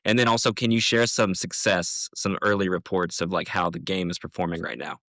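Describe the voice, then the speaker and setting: Casual man podcast host, lowish voice. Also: fairly even intonation, a little fast, sharp tone, no echo, studio quality. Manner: casual, uses "like" often. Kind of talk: hosting an interview podcast.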